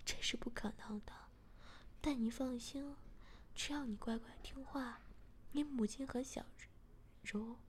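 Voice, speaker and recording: Woman voicing cold bossy young guy, quiet whisper, voice still on the high side. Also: slight background noise, lightly acted. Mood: cold, controlling. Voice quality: whispered, measured. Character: cold domineering young man, calm and threatening.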